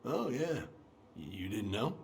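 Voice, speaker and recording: An actor playing a self-deprecating, grieving middle-aged American man in a video game drama, halting and folksy in manner. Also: medium pitch, sing-song, creaky, reverberant, slight background noise. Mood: wistful reminiscing. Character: self-deprecating, grieving older man, old family friend.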